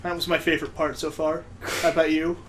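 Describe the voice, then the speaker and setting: A man's voice: a little high, little pitch movement, quick pace, fairly bright tone, slight background noise. A man chatting casually and sarcastically as he plays and comments on a video game. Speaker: gaming video co-host playing the game. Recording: game music behind the voice. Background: video game music.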